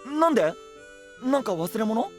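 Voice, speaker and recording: An actor playing an eager, boyish young knight in an anime voice drama, cheerful and casual in manner. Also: male voice, very high-pitched, moderate pace, faint music behind. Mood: joyful. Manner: excitable, casual, rustic, boyish.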